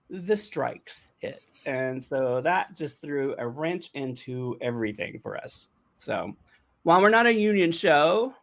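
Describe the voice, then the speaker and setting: A man hosting a casual behind-the-scenes podcast conversation, talking in a chatty, jokey way. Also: mid-range voice. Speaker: podcast host and showrunner.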